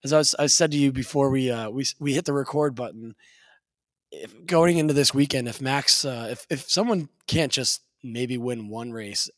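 Slightly raspy guy chatting loosely. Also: mid-range voice, moderate pace, no echo, studio quality. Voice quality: a little raspy. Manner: loose, laughing storyteller with many restarts. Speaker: podcast co-host. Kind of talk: casual chat.

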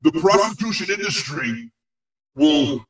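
A man performs a crude male ghost who revels in spite. The voice sits a little high and comes from far off, swimming in heavy echo. He delivers insults and prophecies pompously, with halting pauses, as in a comic audio play. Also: animated. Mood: grandly prophetic. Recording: very echoey, distant-sounding voice.

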